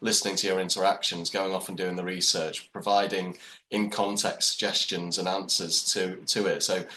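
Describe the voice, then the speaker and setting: A man's voice: low voice, level tone, moderate pace, bright, forceful tone, a little hiss or hum, poor audio. A man co-hosting a podcast, talking casually and rambling with the odd laugh. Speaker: podcast co-host. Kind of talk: casual chat.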